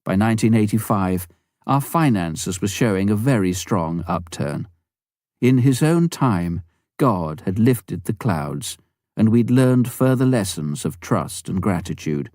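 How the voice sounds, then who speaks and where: A man with a fairly low, very mellow voice narrates a memoir. His reading is measured and clearly phrased, in the scripted style of an audiobook. The sound is dry and close, with little room around it. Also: animated, dry recording.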